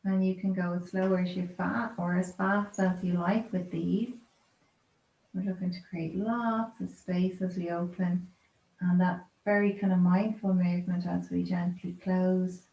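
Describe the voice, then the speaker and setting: A woman yoga teacher guiding a relaxation in a gentle, soothing, unhurried and informal way. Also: lowish voice, level tone. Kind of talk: guided yoga relaxation instruction. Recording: roomy echo, dull lo-fi sound, clear background noise.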